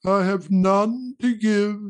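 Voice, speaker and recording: A woman voicing the chained ghost of an old male business partner, slow and loud with drawn-out wailing delivery. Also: mid-range voice, steady tone, lightly acted. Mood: solemn. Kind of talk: audiobook character reading.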